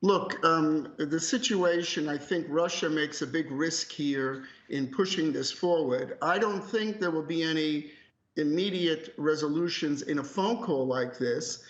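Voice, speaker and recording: Guy pundit, kinda high voice, blunt and emphatic, roomy muffled call audio. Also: unhurried. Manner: emphatic, opinionated, direct. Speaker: academic analyst, interview guest. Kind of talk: live news analysis, conversational.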